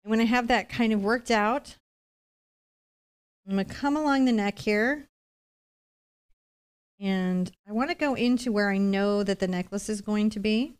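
A middle-aged woman leads a live painting lesson in a smooth, clear voice at a medium pitch. She talks conversationally, explaining each step as she goes and adding chatty, reassuring asides. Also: a little slow, pauses often. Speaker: painting instructor, host.

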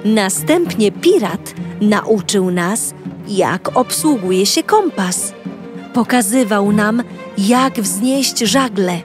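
A woman's voice: mid-range voice, animated, very slow, music in the background. A Polish-accented woman telling a children's audio story in the first person as a cheerful young girl.

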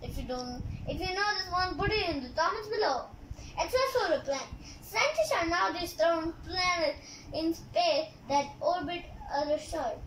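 A young child hosting a kids' book channel, reading aloud haltingly with excited asides. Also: very high-pitched. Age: child, about six to eight. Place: small room indoors. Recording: roomy sound, faint background noise.

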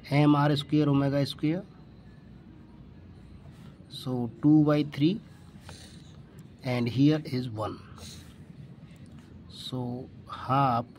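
Slow, mellow Indian male physics tutor. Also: medium pitch, slight background noise. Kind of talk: step-by-step worked-problem lesson.

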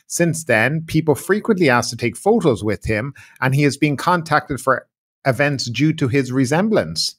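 A middle-aged man hosting a news show, reading the stories in a steady, even newsreader delivery into a studio mic. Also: medium pitch. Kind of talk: read news bulletin. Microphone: studio mic in frame.

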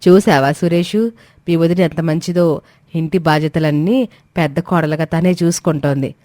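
A woman's voice: low voice, fairly even intonation, normal speed, warm tone, dry recording, clean studio sound, close to an everyday voice. A woman voicing a domineering, scheming middle-aged mother-in-law with a commanding, self-righteous way of talking. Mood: falsely sweet, bossy.

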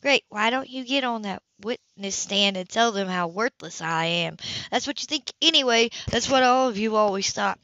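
A woman's voice: mid-range voice, normal speed, sharp tone, no room sound, highs cut off. A woman voicing a brash, foul-mouthed, hard-drinking woman who is bitter and defensive, with a blunt, sneering manner.

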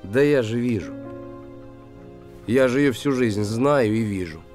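An actor in his thirties playing a gruff, warm-hearted small-town handyman, lovesick and bitter, talking in blunt colloquial speech in a naturalistic TV drama. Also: mid-range voice, unhurried, faint music behind, lightly acted. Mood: bitter, pained. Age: 30s. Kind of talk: naturalistic television drama acting. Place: small wooden house room.

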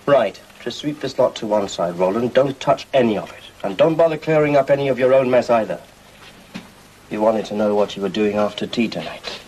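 A man's voice: mid-range voice, brisk, a little mellow, close to an everyday voice, rough recording. A man playing a dry, sarcastic schoolteacher. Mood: sardonic. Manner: wry, drily sarcastic. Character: dry, sardonic male schoolteacher on a school trip. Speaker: teacher. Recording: 1980s TV drama location sound, background noise.

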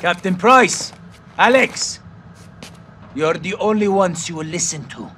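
An actor playing a defiant, grave militant in a video game, speaking intensely and solemnly. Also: male voice, a little high, normal speed, a little hiss or hum, natural voice. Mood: defiant, urgent. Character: zealous militant brother, fighter, defiant and grave. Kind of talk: cinematic video game acting.

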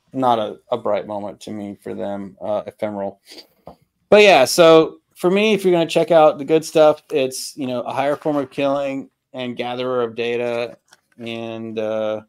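A middle-aged man with a mid-pitched voice chats casually about music on a video call. He is opinionated and halting, often repeating words to drive a point home. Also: smooth. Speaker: album review panelist. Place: home room with record shelves.

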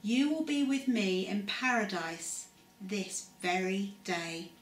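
A woman reading the quiet, hushed lines of a gentle, forgiving man in a story, recorded with room echo and away from the mic. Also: medium pitch, lively intonation, slow, distant mic, a little hiss or hum. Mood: tender. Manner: soft, hushed.

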